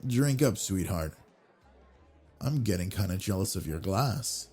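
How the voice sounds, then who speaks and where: A man voicing an obsessive yandere man on a blind date, talking with quiet, smooth charm in a scripted audio roleplay, close on the mic. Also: deep voice, lively intonation, a little slow, some chatter behind, exaggerated voice. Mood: flirty. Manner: quiet brooding inner monologue, then smooth flirty charm.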